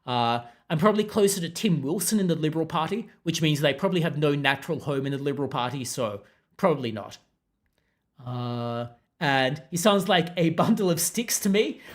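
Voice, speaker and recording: A man's voice: a little high, expressive, a little fast. Unruffled man with a mixed accent. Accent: mixed international accent. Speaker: podcast host. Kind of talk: casual commentary reading out viewer comments.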